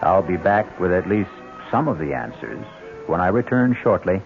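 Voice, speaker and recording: A man hosting a radio mystery show with deliberate, ominous, theatrical narration. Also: sits low, big pitch swings, creaky, loud music behind the voice. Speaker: host. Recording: tinny old radio broadcast.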